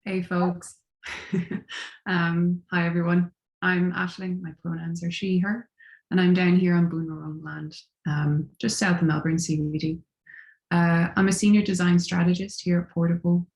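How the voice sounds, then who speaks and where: A woman with an Irish accent, a senior design strategist, giving a thoughtful, measured panel introduction. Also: on the low side, flat delivery, darkish tone. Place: home or office near a city. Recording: roomy echo.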